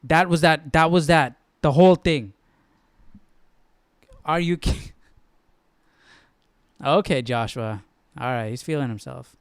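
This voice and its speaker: A man's voice: fairly high pitch, takes it slowly, pauses often, clean tone, dry recording. A man hosting a live study stream, giving casual, slangy, jokey commentary as he muses aloud.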